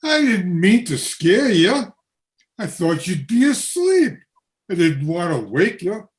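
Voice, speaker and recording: A woman's voice: lowish voice, big pitch swings, slow pace. A woman playing a tired, skeptical, plain-spoken husband in a radio play. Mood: puzzled. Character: tired, grumbling, skeptical working husband Sam. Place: home, over a video call.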